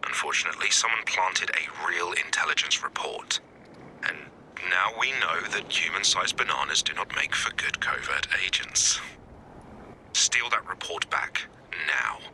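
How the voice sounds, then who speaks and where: Covert-ops spymaster for a video game: stern man, low-pitched, clipped and dry, over noisy ambience. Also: exaggerated voice. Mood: dry displeasure. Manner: measured, deadpan, clipped. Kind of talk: scripted video game character dialogue.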